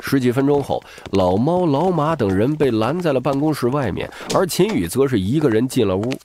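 A man's voice: medium pitch, very animated, brisk, a little mellow, a little hiss or hum. A man narrating a novel as a dramatic, scripted audiobook.

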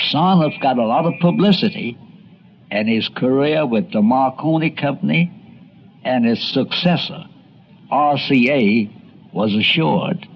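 A man's voice: medium pitch, background noise. A man reading scripted narration for a radio documentary. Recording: dull lo-fi radio documentary audio.

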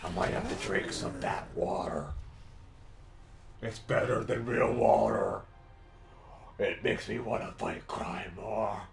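A man voicing a boastful, crude, dim-witted parody Batman in comic game commentary, with a forced gravelly voice that breaks into shrill yells. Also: heavily acted. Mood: smug, relaxed. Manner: bragging, sweary, childish, theatrical. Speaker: gaming video performer in character. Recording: roomy echo with light background noise.

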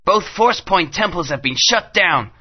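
Earnest young heroine, defiant, lively pitch, lo-fi sound. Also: female voice, measured pace, breathy edge. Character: brave young heroine confronting a villain. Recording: muffled lo-fi game audio.

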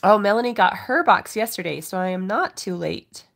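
Woman craft host, stuffy cold voice, gravelly edges, chatty and apologetic, phone overhead at home desk. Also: medium pitch, expressive, normal speed, fairly bright tone. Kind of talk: casual live unboxing chat.

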